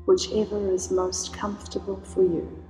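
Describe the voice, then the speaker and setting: A woman leading a guided meditation in a slow, soothing, invocational way. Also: mid-range voice, little pitch movement. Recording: roomy reverb with soft music.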